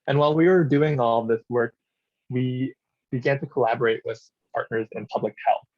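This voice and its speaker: A man gives a conference presentation on design at a steady, explanatory pace, often pausing with "um." His voice sits at an ordinary middle pitch. The audio is slightly dull and muffled, like an online talk. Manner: measured, explanatory, frequent um fillers. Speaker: architect presenter. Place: New York City.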